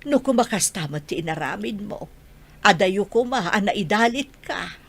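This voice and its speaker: A woman's voice: medium pitch, expressive, normal speed, breathy edge. A woman with an Ilocano accent playing an older, scolding yet caring grandmother in a radio drama. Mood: reproachful.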